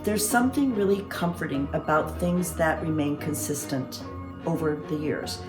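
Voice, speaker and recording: A woman speaking reflectively in an interview, measured and deliberate, in an echoing room. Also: low voice, expressive, music in the background. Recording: roomy, echoing interview sound.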